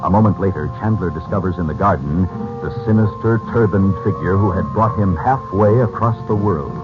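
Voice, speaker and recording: A man's voice: low-pitched, brisk, natural voice. A man with an American accent giving formal, dramatic scripted narration as a 1940s radio announcer. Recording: muffled 1940s radio recording with music.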